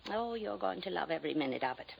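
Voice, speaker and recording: A woman's voice: fairly low pitch, animated, quick pace, vocal fry, a little hiss or hum, rough recording. An actress playing a wealthy, girlish older society widow in a dreamy, chatty 1950s radio drama style. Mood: chatty, gracious. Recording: vintage radio drama recording.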